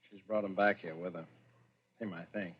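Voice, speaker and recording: A man's voice: low-pitched, fairly even intonation, normal speed, slightly rough. An actor playing a sneering, menacing man in a 1940s radio thriller, curt and commanding in manner. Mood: suspicious, controlled. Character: menacing, sneering brother of a murdered man, holding a gun. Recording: dull, noisy vintage radio recording.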